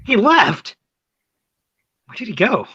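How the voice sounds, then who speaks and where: A woman acting a nosy, motherly confidante hungry for romance, husky and theatrical in a comic play read. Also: fairly high pitch, big pitch swings, takes it slowly, sharp tone, no room sound, heavily acted. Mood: dramatic, conspiratorial. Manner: theatrical, breathlessly prying. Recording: dull lo-fi, close dry sound.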